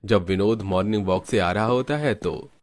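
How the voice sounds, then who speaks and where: Low-pitched male cartoon story narrator. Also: normal speed.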